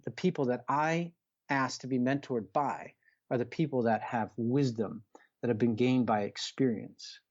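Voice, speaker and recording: A man's voice: medium pitch, darkish tone, lo-fi sound. A middle-aged man talking as a podcast guest and coach in an earnest, emphatic, conversational motivational style, repeating phrases and asking rhetorical questions.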